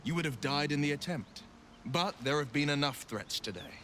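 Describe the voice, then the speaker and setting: Forceful, righteous monster hunter. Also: male voice, a little high, lively intonation, a little hiss or hum. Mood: subdued, conceding. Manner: declamatory, formal, deliberate. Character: righteous, theatrical male monster hunter hero. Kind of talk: video game character acting.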